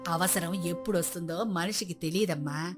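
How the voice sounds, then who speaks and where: Wise village granny played by a female narrator: kindly, advising, pitched well below the narrator's voice, over background music. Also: moderate pace, lightly acted. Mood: calm, wise. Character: kind, wise elderly village grandmother who sells fish.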